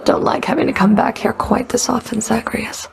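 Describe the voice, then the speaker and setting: Creaky cool underworld fury for a video game, dry sarcasm, clipped and stern. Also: female voice, a little high, steady tone, moderate pace. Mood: dry, teasing. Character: stern, sardonic Fury, underworld enforcer with a whip. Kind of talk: video game character voice acting.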